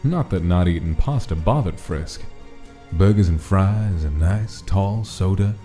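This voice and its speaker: Low, very dark-voiced male narrator. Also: expressive, a little slow. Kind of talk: scripted story narration. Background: soft music.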